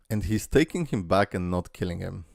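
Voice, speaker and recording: Low, gravelly man rambling through a reaction. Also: darkish tone, dry recording, studio quality. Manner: rambling, filler-heavy, swears and laughs. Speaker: reaction video host. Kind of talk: casual reaction commentary.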